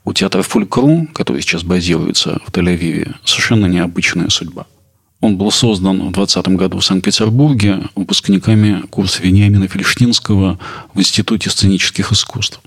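A man presenting a culture podcast in a narrating style, with a gravelly, husky voice. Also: deep voice, a little fast. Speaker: podcast host.

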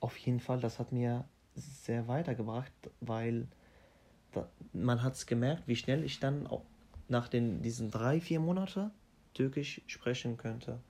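Young man, halting. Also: mid-range voice, darkish tone. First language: Arabic. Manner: halting, restarts often, simple phrasing. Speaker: interviewee. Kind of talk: casual interview.

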